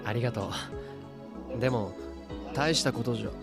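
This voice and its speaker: A man plays a serious young student in an anime game. He is reserved and subdued, speaking quickly at a middling pitch. Music is clearly audible behind him. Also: natural voice. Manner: reserved, low-key, evasive. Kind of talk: Japanese visual novel anime voice acting.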